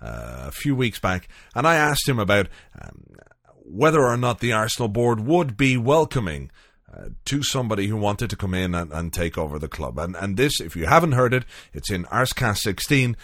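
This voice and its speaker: Man, podcast host, low voice, swinging pitch, rambling casual chat, dry close sound. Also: sing-song, normal speed, fairly bright tone, no echo. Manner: rambling and loose, many fillers and asides. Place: home, late at night.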